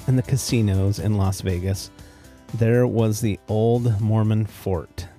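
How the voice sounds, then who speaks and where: Mellow, low-voiced American man hosting a podcast. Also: dry recording. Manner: easygoing, chuckles at own remarks. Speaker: podcast host. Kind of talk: casual solo storytelling podcast.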